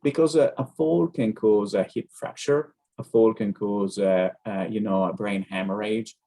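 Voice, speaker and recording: A man with an Italian accent, a neurologist explaining things on a video-call webinar, pausing often with hesitant fillers. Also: lowish voice, mellow. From Italy.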